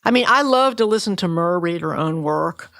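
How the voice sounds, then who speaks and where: Woman, gravelly fry, lowish pitch, podcast host, casual and plainspoken, dry room-free sound. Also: animated, moderate pace, a bit bright, no room sound. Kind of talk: casual interview chat.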